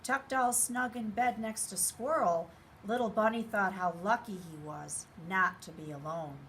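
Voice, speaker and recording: A woman's voice: mid-range voice, a little slow, fairly bright tone, slight background noise. A woman reading a children's picture book aloud expressively, speaking directly to young listeners. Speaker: story reader.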